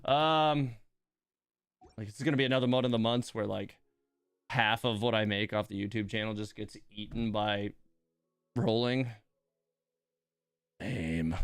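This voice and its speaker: A man's voice: lowish voice, a little slow, pauses often, smooth, dry recording, studio quality. A man chatting casually on a gaming livestream in a grumbling, exasperated, self-deprecating way. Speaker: live streamer and host of a gaming channel. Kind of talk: casual livestream chat while playing a gacha game. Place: indoors at home computer.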